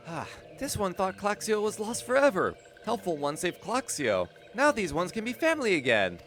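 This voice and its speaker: A man's voice: high voice, wildly swooping pitch, normal speed, a bit bright, soft background music, pitched above the performer's own voice, full character voice. A man voicing a small, grateful fae creature that calls itself "this one" in a formal, childlike way. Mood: grateful, earnest.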